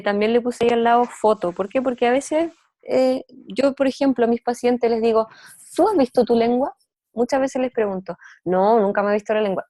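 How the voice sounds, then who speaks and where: Mellow-voiced woman teaching a class. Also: mid-range voice, no room sound, studio quality. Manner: conversational, frequent checking "¿ya?" with students. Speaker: Ayurveda therapy teacher. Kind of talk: informal class lecture.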